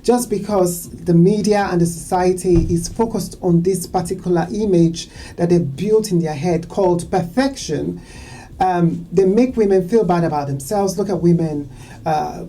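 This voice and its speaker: A woman hosting a talk show discussion, earnest and quick to agree with her guest. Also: lowish voice, mellow, slight background noise. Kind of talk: casual discussion.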